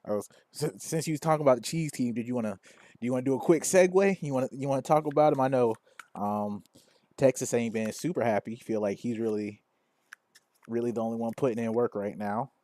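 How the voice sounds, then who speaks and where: A man's voice: mid-range voice, fairly quick, dark tone. Guy hosting a podcast, mid range voice, informal slangy agreeable chat. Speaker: podcast host.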